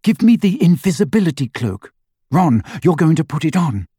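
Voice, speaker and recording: A man voicing a resourceful teenage girl witch in an audiobook, giving hushed, urgent instructions. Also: on the high side, big pitch swings, moderate pace, breathy edge, dry recording, studio quality, voice pushed up. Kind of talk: audiobook character voice.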